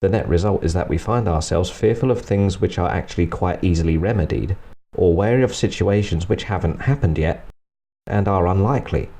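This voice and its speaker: A man's voice: sits low, brisk, mellow, a little hiss or hum. A man narrating a scripted comedic review in a dry, sarcastic manner. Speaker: presenter of a film review series.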